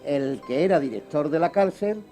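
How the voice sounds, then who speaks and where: Mellow, highish-voiced man. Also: expressive, normal speed. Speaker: radio show panelist. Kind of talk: casual radio chat. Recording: faint music under the voice. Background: background music.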